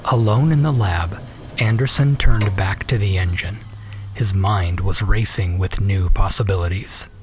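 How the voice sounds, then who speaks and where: A man narrating a suspense drama in a measured, ominous, scripted style. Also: sits low, noisy background.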